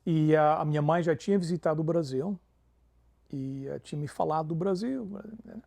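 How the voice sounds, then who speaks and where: Gravelly pastor, warm tone. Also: male voice, on the high side, normal speed. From Canada. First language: English. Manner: peppers Portuguese with English fillers. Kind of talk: interview storytelling.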